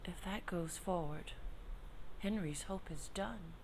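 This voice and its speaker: Slow, low-voiced grieving queen played by an actress. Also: vocal fry, slight background noise. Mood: anxious. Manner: formal, declamatory, deliberate. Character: proud, grieving exiled queen pleading for her son. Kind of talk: Shakespearean stage-style audio drama acting.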